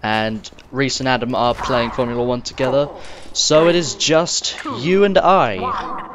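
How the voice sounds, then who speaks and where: Croaky young man chatting over gameplay. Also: medium pitch, measured pace, muffled recording, noisy background. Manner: rambling, chatty, talks straight to the viewer. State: slightly croaky and sniffly. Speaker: gamer recording gameplay commentary. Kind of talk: casual gameplay commentary. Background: game music and sounds.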